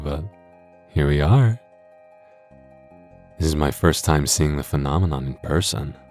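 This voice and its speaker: A man's voice: a bass voice, a little mellow. A man playing a coy, flirtatious masked host who addresses the listener in playful ASMR roleplay. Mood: mock surprise, coy.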